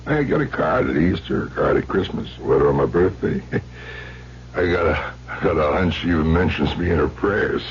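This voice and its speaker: Gruff, deep-voiced aging crook. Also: male voice, lively intonation. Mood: wry, skeptical. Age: older. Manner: gruff, offhand, halting. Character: gruff, aging ex-convict turned rich, awkward host. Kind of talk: old-time radio drama acting. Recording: muffled, hissy old radio recording.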